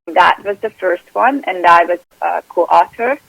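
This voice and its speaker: A woman with a Hungarian accent and a fairly low voice talks about her work as a performance coach. She is earnest and often repeats words as she restarts a thought. The recording is dry and close, with no room sound. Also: unhurried, gravelly, fairly bright tone, no room sound, rough recording. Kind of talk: interview guest.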